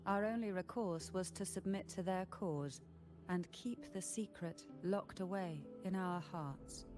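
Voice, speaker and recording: An actress playing a poised, secretive noblewoman in a video game, speaking in a measured, deliberate and formal way. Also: fairly low pitch, natural voice. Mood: grave. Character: poised, secretive noblewoman of a disgraced old family. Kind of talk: dramatic video game voice acting, storytelling. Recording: faint music behind.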